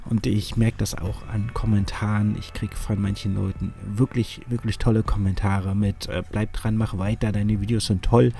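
A man's voice: on the low side, creaky, warm tone. A man with a cold and a sniffle, rambling with many fillers while commenting on a game he is playing. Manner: rambling, hesitant, lots of fillers. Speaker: gaming YouTuber. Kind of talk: casual let's-play commentary.

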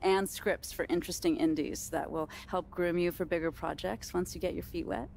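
An actress playing a polished, persuasive middle-aged sports agent delivering a smooth pitch in a video game. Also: female voice, steady tone, fairly quick, darkish tone, slight background noise.

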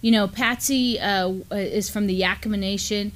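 Middle-aged American woman, halting news co-host. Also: medium pitch, steady tone. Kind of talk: casual news discussion. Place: indoor studio at a table.

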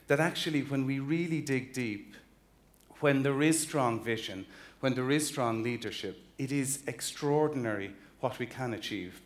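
A man in his fifties with an Irish accent, giving an earnest, emphatic conference keynote on a large convention hall stage, walking as he talks and often restarting phrases. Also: mid-range voice. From Ireland. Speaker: chief executive giving a keynote. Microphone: stage mic, walking the stage with a slide clicker.

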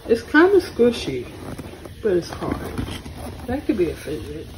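A woman's voice: medium pitch, huge pitch swings, a little slow. A woman chatting casually as she hosts a toy haul vlog, recorded indoors at home. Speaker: co-host aunt showing toys. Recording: clear background household noise.

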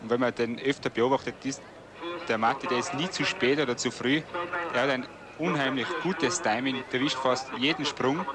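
A man with an Austrian accent giving colloquial expert analysis of ski jumping. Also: mid-range voice. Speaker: expert co-commentator. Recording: outdoor sports broadcast with crowd behind.

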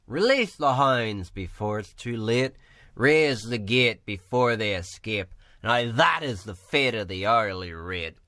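Slow, low-voiced male spoken word poet. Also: a bit bright, dry recording, studio quality. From Northern Ireland. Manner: measured rhythmic recitation with rhymes. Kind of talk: spoken word poetry performance. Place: small room or pub.

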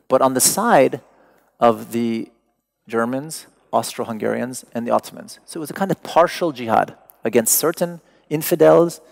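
A man with a creaky, gravelly voice lectures to a seated audience in a large hall. He is a native Persian speaker who talks in a prepared yet conversational way, pausing to find and stress the exact word. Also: medium pitch.

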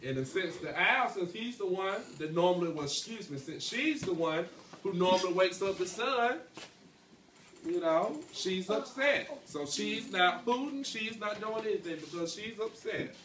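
A man's voice: high voice, animated, moderate pace, a bit bright, distant mic. A young male schoolteacher reads a story to his class and stops to question them with frequent "okay" and "right?". He is recorded from across an echoey classroom with some noise behind him. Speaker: elementary school teacher reading aloud to class. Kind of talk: storybook read-aloud with questions to pupils. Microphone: handheld phone among the pupils, several metres away.

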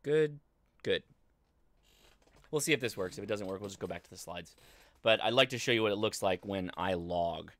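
A man's voice: on the low side, animated, fairly bright tone, dry recording. American man hosting a casual live tutorial. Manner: conversational, halting, thinking aloud while demonstrating. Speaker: livestream host and ham radio instructor. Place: indoor home studio desk, livestream.

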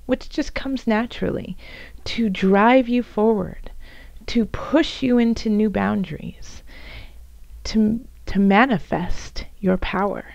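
Casting: female tarot reader, raspy and hoarse, gravelly edge, mellow tone, gentle unhurried address, dull home recording. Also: medium pitch, dry recording. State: hoarse from bronchitis, voice coming and going. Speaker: tarot reader and channel host. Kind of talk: soft spoken tarot card reading to viewers.

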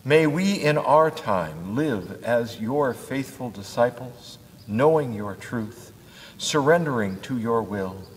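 An older man, a pastor, leading prayers in a church in a slow, measured liturgical cadence. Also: medium pitch, lively intonation, a little hiss or hum. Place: church sanctuary at a lectern. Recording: church hall with long reverb.

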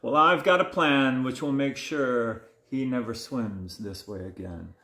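A calm, clever storybook squid, read by a man, speaks in measured, reassuring lines. The delivery is unhurried and rings in an echoey room. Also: medium pitch. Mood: plotting.

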